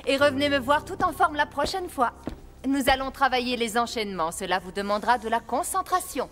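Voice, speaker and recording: A woman playing an encouraging but demanding ballet teacher in a French cartoon, measured and instructive. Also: high voice, animated, fairly bright tone, slight background noise. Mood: approving. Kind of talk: dubbed French cartoon acting. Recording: music behind the voice.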